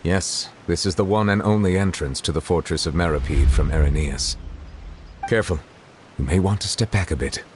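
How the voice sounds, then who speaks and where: A man voices a composed, courteous high official for a video game. His deep voice is formal and measured, with a faint rumble of noise behind it. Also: natural voice.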